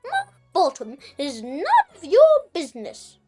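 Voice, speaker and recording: A put-upon storybook cat, played by a young boy, complaining in a very high voice. It is indignant and emphatic, leaning hard on its grievances. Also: wildly swooping pitch, unhurried, mellow, soft background music, exaggerated voice. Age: child, 8 years old.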